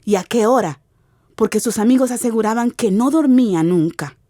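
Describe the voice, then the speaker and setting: A woman's voice: fairly high pitch, expressive, moderate pace, mellow, no room sound. Woman narrating drama, close dry sound.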